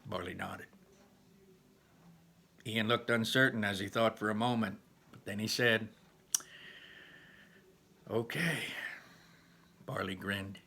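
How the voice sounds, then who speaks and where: A man reading a storybook aloud in an animated manner, stepping out for chatty explanations to his listeners. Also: lowish voice, unhurried, vocal fry, pauses often.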